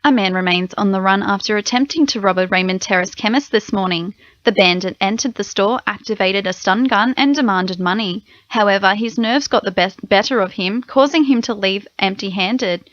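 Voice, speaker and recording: A woman's voice: medium pitch, moderate pace, few pauses, fairly bright tone, no echo. A woman with an Australian accent reading a scripted radio news bulletin as the newsreader. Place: dry radio studio. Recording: slightly dull, lo-fi radio recording.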